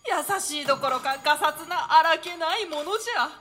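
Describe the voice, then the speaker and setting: Radio play: melancholy young lord in love, female actor, high register, plaintive antique declamation, scored with music. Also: moderate pace, bright, forceful tone. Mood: gloomy, aggrieved. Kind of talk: radio drama in archaic theatrical Japanese.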